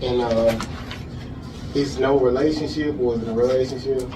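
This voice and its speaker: Relaxed male host, casual slangy delivery, echoey room, mic at a distance. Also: mid-range voice, moderate pace, background noise. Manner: laid-back, slangy questions. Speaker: podcast host. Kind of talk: casual interview.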